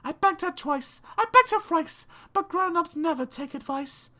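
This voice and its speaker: A woman's voice: very high voice, expressive, normal speed, pushed and bright. A British woman narrating children's verse as an audiobook reader, theatrical, dramatic and precise.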